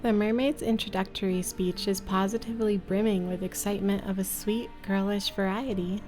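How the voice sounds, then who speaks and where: A woman's voice: mid-range voice, fairly even intonation, a little fast, a little mellow, faint music behind. A woman livestreamer reading a visual novel aloud and chatting casually, with a playful, affectionate manner. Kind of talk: casual stream chat and read-aloud narration.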